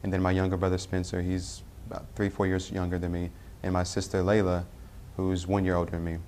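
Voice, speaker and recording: A young man from Tennessee speaks with a very low voice. He tells his personal story unscripted, pausing often and correcting himself mid-sentence. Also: completely flat delivery, a little mellow, slight background noise. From Tennessee, US Southern. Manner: halting, self-correcting with many "uh" pauses. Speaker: convert telling his story. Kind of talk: personal testimony.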